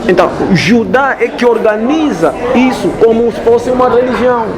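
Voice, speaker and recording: Man, Angolan Portuguese accent, high voice, crowd chatter behind, lecturing tone. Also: normal speed, rough recording. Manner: didactic, keeps checking if understood. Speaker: interview guest. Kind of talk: explanatory interview talk.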